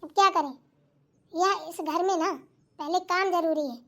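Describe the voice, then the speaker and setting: A woman's voice: very high-pitched, fairly even intonation, unhurried, sharp tone, exaggerated voice. A woman playing a stubborn, put-upon young daughter-in-law in a family comedy skit, grumbling and arguing with sarcasm. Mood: sulky grumbling.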